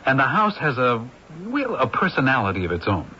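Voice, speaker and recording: An affable movie crew member who explains things patiently with a bright tone and a lively pitch. The old radio recording is dull and carries a faint hiss. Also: male voice, fairly quick. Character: male film crew member, friendly explainer. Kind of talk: 1970s radio drama acting.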